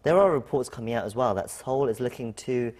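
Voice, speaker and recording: Male tv news interviewer, studio setting, medium pitched voice, asking a guest questions. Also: animated, brisk, a little mellow.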